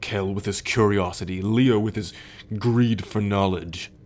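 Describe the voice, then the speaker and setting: Man acting a haughty, slow, deep sun god. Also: fairly even intonation, a little mellow, lightly acted. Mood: exasperated. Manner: haughty, scornful, formal, sighing. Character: arrogant male sun god, imperious brooding captor husband. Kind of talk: ASMR roleplay audio drama. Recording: background music under the voice.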